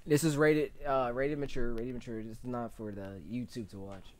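A young American man giving casual, slangy reaction commentary in a joking, animated way. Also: medium pitch, normal speed, very noisy. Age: young adult. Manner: jokey, animated, slangy, often laughing. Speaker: reaction video host. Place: small room, indoors. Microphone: desk condenser mic with pop filter.

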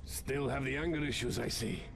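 An older man playing an earnest, insistent old scientist in a video game, speaking gravely and formally. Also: medium pitch, normal speed, natural voice. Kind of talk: cinematic video game acting. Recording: loud music behind the voice. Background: loud dramatic music.

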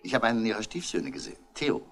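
An unhurried police inspector in a vintage radio crime play. His voice is fairly low with lively inflection, courteous and measured as he quietly probes. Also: vocal fry, full character voice. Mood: probing. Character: calm, shrewd, unhurried French police inspector. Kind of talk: 1960s German radio crime drama acting.

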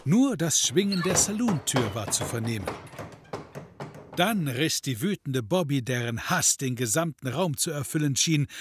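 A man's voice: a little high, husky, no room sound. A man narrating a scripted comedy audio drama in a wry storytelling manner.

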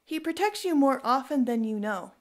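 Woman as Cecilia, devout higher-voiced Roman wife. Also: expressive, normal speed. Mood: reassuring. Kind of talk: audio drama acting.

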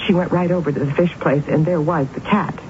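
An actress playing an intense, eerily certain young woman with visions, in a solemn, deliberate 1970s radio drama style. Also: low voice, fairly even intonation, lightly acted. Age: 20s. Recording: muffled, very noisy old radio broadcast.

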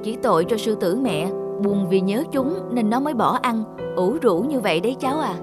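A man voicing a kindly, sympathetic zookeeper who explains things calmly in a children's cartoon. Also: sits high, normal speed. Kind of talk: dubbed children's cartoon dialogue. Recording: music behind the voice.

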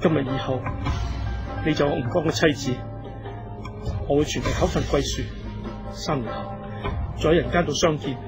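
An actor playing a devoted young hero in a radio drama, speaking earnestly and emotionally with a Hong Kong Cantonese accent. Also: male voice, fairly high pitch, moderate pace, pauses often, music in the background, lightly acted. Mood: tender, grieving.

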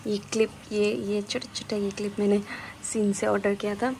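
A woman's voice: medium pitch, flat delivery, brisk, creaky, darkish tone, a little hiss or hum. A woman in her twenties chatting playfully as she films a travel vlog on a phone held at arm's length, first in a hotel room and then in a market. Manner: chatty, playful, exclaims over things. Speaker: travel vlogger. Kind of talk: casual travel vlog chat. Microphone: phone camera at arm's length.

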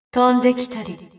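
A woman voicing a haughty, scornful abyssal airfield demoness for a Japanese anime game, theatrical and condescending. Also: a little high. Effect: heavy echo. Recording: very echoey, distant game voice.

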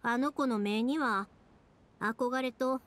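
A woman's voice: on the high side, takes it slowly, fairly bright tone. A woman playing a cheerful, chatty young fireworks maker in a video game, speaking expressively with a Kansai accent. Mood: chatty, thoughtful. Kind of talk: animated video game voice acting.

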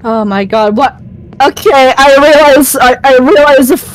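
A man in casual multiplayer gaming chat, excitable and repeating phrases in bursts. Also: very high-pitched, unhurried, a little hiss or hum, rough recording. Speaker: fellow player. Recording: game music behind voice.

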